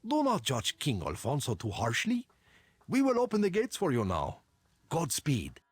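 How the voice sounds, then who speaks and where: An actor playing a loyal, eager medieval soldier in a strategy game, with a husky voice. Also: male voice, fairly high pitch, huge pitch swings, normal speed, no room sound, heavily acted. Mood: sympathetic, loyal. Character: loyal, eager medieval male soldier serving an exiled knight. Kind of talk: scripted game dialogue.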